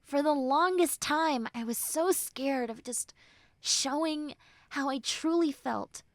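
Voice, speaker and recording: A high, young female voice acted by a woman in an intimate, dry roleplay recording. She is a tough tsundere friend letting her guard down. She speaks slowly and earnestly, with hesitant pauses and soft, tender reassurance. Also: no room sound. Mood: vulnerable, confiding. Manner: earnest, hesitant, soft, often dropping to breathy near-whispers. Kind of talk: intimate roleplay audio acting.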